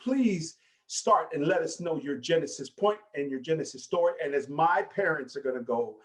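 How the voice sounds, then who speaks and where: A man's voice: on the high side, normal speed. Hype-voiced male show host. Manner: energetic, hype-style introductions. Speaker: podcast host. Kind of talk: enthusiastic show intro and interview.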